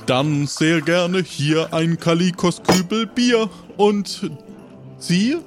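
A man's voice: high voice, steady tone, takes it slowly, music in the background, pitched above the performer's own voice. A man voicing an overly cheerful, effusively welcoming tavern innkeeper in a singsong manner for an improvised audio play. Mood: cheery, welcoming.

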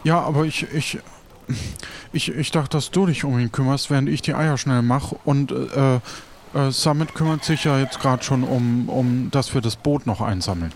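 A man's voice: mid-range voice, a little hiss or hum, lightly acted. A man playing a practical but hesitant pirate crewman in improvised audio role-play, often restarting his phrases. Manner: halting, repeats phrase openings.

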